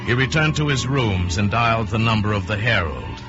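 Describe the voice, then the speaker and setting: A man's voice: fairly low pitch, expressive, fairly quick. A man giving dramatic scripted narration for an old-time radio drama. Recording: dull vintage radio recording, music behind.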